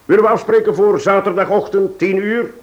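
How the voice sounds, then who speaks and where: Actor as a composed, formal police chief. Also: male voice, high voice, steady tone, darkish tone, muffled recording, lightly acted. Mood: measured, planning. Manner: measured, formal, courteous. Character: composed, courteous, firm male police chief inspector. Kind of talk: radio drama acting.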